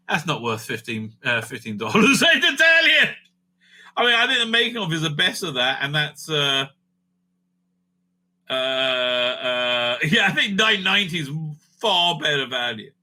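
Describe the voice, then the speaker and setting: A man's voice: medium pitch, sharp tone. A man giving casual, off-the-cuff, opinionated reviews in a rambling way, often tagging his phrases with a questioning "right?". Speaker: host of a livestream review show. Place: indoors at home.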